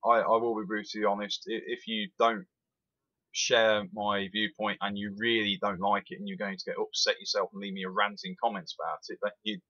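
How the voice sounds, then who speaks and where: A man's voice: fairly low pitch, brisk, lo-fi sound. A man, giving an opinionated, emphatic rant as a rail sim reviewer. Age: 20s. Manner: animated, emphatic, repeats itself.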